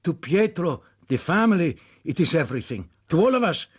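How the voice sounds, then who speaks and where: An actor playing an anxious, humble, pleading Italian father in a radio drama, with an Italian accent and halting English. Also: on the high side, big pitch swings, husky. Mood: hesitant, troubled. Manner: halting, searching for English words.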